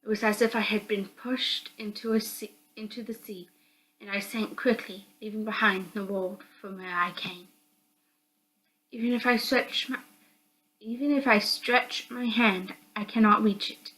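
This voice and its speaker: A woman's voice: mid-range voice, fairly even intonation, a little slow, fairly bright tone, rough recording. A woman reading a visual novel game aloud as dramatic narration. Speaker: host of a visual novel read-through. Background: background music.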